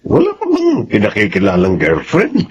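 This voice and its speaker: Lively, chummy middle-aged buddy. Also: male voice, a little high, normal speed, creaky, muffled recording, slight background noise, exaggerated voice. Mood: teasing. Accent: Filipino. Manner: chummy, chatty. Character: proud, jovial middle-aged father, chummy old friend. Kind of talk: Filipino radio drama acting.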